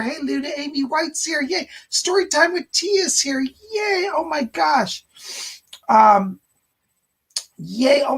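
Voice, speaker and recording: A man with a hoarse, raspy voice, rough and gravelly, hosts a casual psychic livestream from his home studio. He gushes over each viewer with bursts of delight, sounding worn and tired. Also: very high-pitched, a bit bright. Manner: effusive, gushing greetings with frequent squeals of delight. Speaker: psychic medium hosting a live stream.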